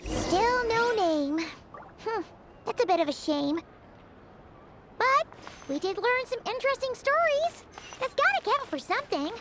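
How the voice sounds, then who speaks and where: A woman voicing a small, childlike fairy companion in an anime game, expressive, squeaky and blunt. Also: unhurried, long pauses, heavy background noise, exaggerated voice. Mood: chatty. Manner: exclamatory, expressive.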